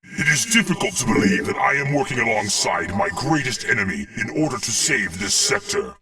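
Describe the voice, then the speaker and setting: An actor playing a proud, ancient alien warrior in a video game, speaking in a formal, grandiose way. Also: male voice, mid-range voice, sing-song, brisk, sharp tone, slightly rough, dry recording, distant mic, exaggerated voice. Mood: brooding. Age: older. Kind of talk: dramatic video game acting. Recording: heavy game noise behind voice.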